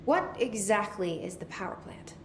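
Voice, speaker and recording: An actress playing a brisk, no-nonsense young director in a video game, speaking in a direct, businesslike way. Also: female voice, medium pitch, lively intonation, roomy sound, a little hiss or hum, close to an everyday voice. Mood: probing.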